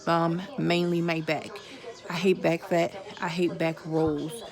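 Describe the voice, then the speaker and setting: A woman's voice: on the low side, level tone, takes it slowly, crowd noise. A woman of forty giving viewers a candid personal update, chatting casually with religious asides. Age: 40s. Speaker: vlogger.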